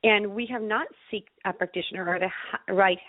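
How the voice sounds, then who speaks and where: A woman's voice: mid-range voice, fairly even intonation, a little fast, dry recording. An earnest woman, the practitioner guest you would hear on a wellness call-in, coming through a narrow, phone-like line with no room around her. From Ecuador. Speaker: interview guest, naturopathic physician. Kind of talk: conversational health explanation.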